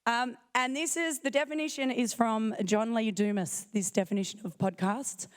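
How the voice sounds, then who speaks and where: A woman with a croaky, failing voice giving a chatty, self-joking conference talk. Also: a little high, moderate pace. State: croaky, losing her voice. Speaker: conference speaker. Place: conference hall.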